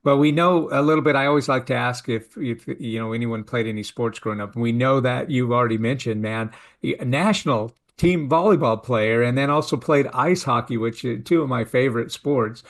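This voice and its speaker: Friendly halting male host. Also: medium pitch. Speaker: show host. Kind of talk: casual interview chat.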